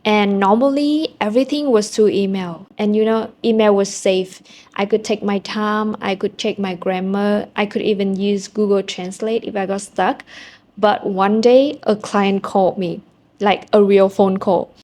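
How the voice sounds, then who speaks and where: A young Vietnamese woman speaks English with a clear Vietnamese accent, chatting straight to her camera. Her tone is candid and a little self-deprecating, with casual fillers scattered through her stories. Her pitch sits in an ordinary middle range. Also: fairly even intonation, measured pace, darkish tone. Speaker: vlogger. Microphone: small handheld camera mic.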